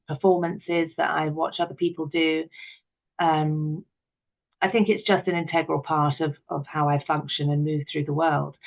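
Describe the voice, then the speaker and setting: A middle-aged woman with noticeable vocal fry, speaking as an interview guest. She is earnest and emphatic, working out her thoughts as she goes. The sound is slightly dull and lo-fi. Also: low voice. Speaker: interview guest, writer and producer. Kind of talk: reflective interview chat.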